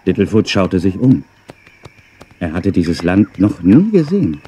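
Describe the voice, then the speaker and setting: A man's voice: low-pitched, very animated, measured pace, mellow, husky. A man narrating a scripted children's audio story.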